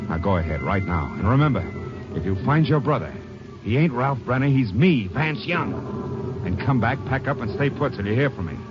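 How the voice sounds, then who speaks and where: A man's voice: mid-range voice, animated, fairly quick, loud music behind the voice, close to an everyday voice. An actor playing a ruthless male fugitive safecracker who coaxes and bullies his girlfriend, in a 1950s radio drama. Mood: scheming. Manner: menacing, coaxing. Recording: dull 1950s radio broadcast.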